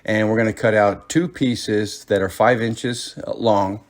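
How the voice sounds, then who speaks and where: A man's voice: fairly low pitch, steady tone, unhurried, no echo. Man giving casual hands-on how-to, dry sound. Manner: folksy, informal, frequent check-ins like okay and cool. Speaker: host of an off-road DIY channel.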